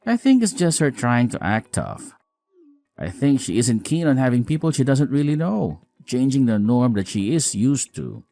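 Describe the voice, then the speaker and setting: A man playing a weary, apologetic newlywed husband in a scripted audio drama, earnest, expressive, caring and frank. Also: mid-range voice, moderate pace, faint music behind. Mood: resigned.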